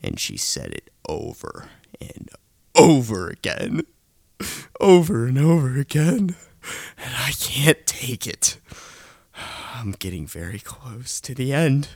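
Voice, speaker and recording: A man's voice: medium pitch, wildly swooping pitch, slow, creaky, darkish tone, breathy edge, no echo, studio quality. A young man delivering a seething, hateful rant, often dropping into a hissed whisper.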